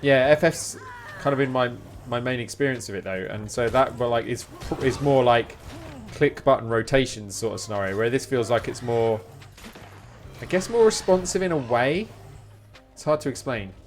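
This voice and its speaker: A man's voice: mid-range voice, normal speed. A man streaming a game, chatting informally with rambling explanations. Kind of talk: casual live-stream chat while gaming. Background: game music.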